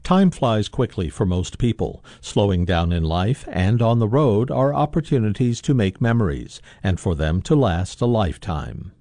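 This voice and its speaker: A man's voice: on the low side, lively intonation, normal speed, hardly any pauses, dark tone, no room sound, studio quality. A man reading a scripted sponsor message as a local radio announcer.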